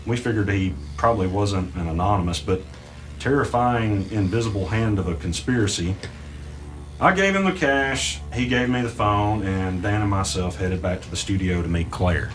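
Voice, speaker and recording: Low-pitched, anxious male host played by an actor, audio mystery drama, earnest and hesitant, faint music bed. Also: moderate pace, natural voice, low-quality recording. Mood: measured, storytelling. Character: anxious male podcast host caught in a conspiracy. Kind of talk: audio drama, naturalistic dialogue and first-person narration.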